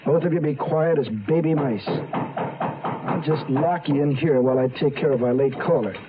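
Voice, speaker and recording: An actor playing a menacing young killer in a radio drama, falsely polite, halting and sinister. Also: male voice, fairly high pitch, steady tone, natural voice. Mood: controlling, sinister. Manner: halting, mock-courteous, sinister. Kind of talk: old-time radio drama acting. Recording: tinny radio recording with background noise.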